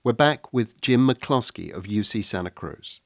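A man hosting a radio documentary, narrating in a measured, reflective way and posing questions. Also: lowish voice, animated, creaky, no echo, clean studio sound. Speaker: radio host.

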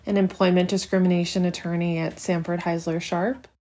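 A woman who is an employment attorney, being interviewed and speaking carefully and deliberately. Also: on the low side, level tone, warm tone.

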